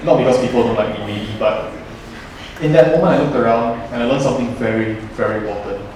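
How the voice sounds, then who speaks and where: A young man gives a playful, chatty student presentation, joking as he tells a personal story. His voice sits at a middle pitch and sounds far from the mic. A strong echo from a large hall surrounds it. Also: fast, a little mellow, slight background noise. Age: young adult. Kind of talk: lively presentation to a class.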